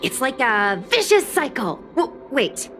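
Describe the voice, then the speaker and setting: A woman's voice: high-pitched, very animated, a little slow, a bit bright, slight background noise, exaggerated voice. A woman voicing a chatty, confident young girl influencer in an anime game, breezy and persuasive. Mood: boastful, persuasive. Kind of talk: anime game dialogue acting.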